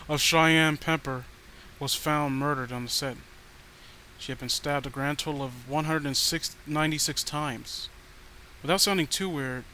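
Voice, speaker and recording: A man's voice: medium pitch, bright, forceful tone, low-quality recording. A man narrating a horror story in a casual manner, stumbling over the odd word. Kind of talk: dramatic horror story reading. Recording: faint background noise.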